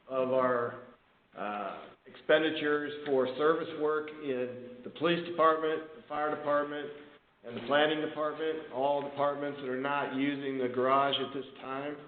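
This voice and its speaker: A man, a town official, explaining budget items in a halting way on a conference call. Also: fairly high pitch, steady tone, narrow, tinny audio. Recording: echoey, noisy phone conference line, far off.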